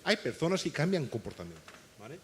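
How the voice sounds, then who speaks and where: A man's voice: medium pitch, sing-song, quick pace, slight background noise. A man from Portugal speaking with a Portuguese accent as a conference panelist, emphatic and checking in with his listeners again and again. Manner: emphatic, keeps adding "¿vale?". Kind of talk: panel discussion. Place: conference stage.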